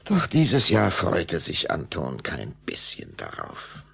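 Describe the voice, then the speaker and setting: A man narrating a children's radio play as a measured, dramatic storyteller. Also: low-pitched, wildly swooping pitch, creaky, pushed and bright, breathy edge, exaggerated voice.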